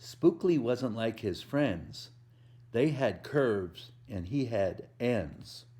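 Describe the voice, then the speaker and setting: A man reads a picture book to small children at a very unhurried pace, in a mid-pitched voice. He leans on the rhymes and pauses to explain things simply or put a question to the young listeners. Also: animated, warm tone. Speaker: volunteer children's story reader. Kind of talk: read-aloud picture book storytime for preschoolers.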